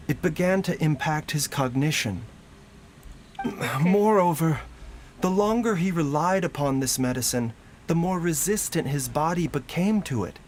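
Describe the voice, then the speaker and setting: A man voicing a calm, courteous, learned young doctor in a video game, speaking in measured, formal explanations. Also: fairly high pitch, slight background noise, natural voice. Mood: calm, explanatory. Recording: game audio with music behind.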